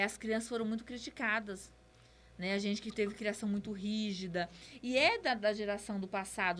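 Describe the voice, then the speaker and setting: A woman's voice: on the high side, fairly bright tone, a little hiss or hum. A woman with a Brazilian accent giving casual advice on a podcast in a conversational, explanatory way, like a mentor. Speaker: podcast speaker, business mentor.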